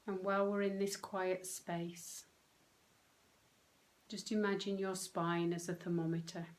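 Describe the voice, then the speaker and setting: Very slow-speaking woman guiding a meditation. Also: fairly low pitch, little pitch movement. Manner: gentle, unhurried, with long pauses between short phrases. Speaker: meditation guide and coach. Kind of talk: guided meditation, soothing instruction.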